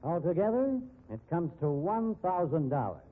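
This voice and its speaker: A man's voice: fairly high pitch, big pitch swings, moderate pace. An actor playing a hard-boiled, cynical male store investigator in 1950s radio drama style. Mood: accusing, bullying. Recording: muffled, dull 1950s radio recording.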